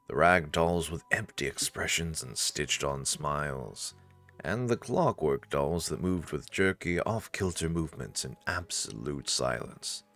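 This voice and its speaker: Low voiced guy reading a visual novel out loud, super animated, chatty asides, music underneath. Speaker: narrator of a visual novel playthrough. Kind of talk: expressive story narration with casual asides.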